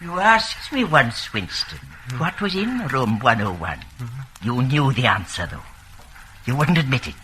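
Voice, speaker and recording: An actor playing a cold, fanatical party interrogator in a theatrical old radio drama, menacing and lecturing, given to ranting oratory. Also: male voice, mid-range voice, big pitch swings, unhurried, bright, forceful tone. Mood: calm, sinister. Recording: noisy vintage radio drama recording.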